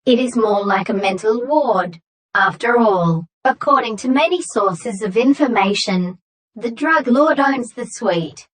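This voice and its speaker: A woman playing a stern young female courier in a story, speaking in formal, matter-of-fact, measured statements. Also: a little high, lively intonation, poor audio. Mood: grave. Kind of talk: scripted story dialogue.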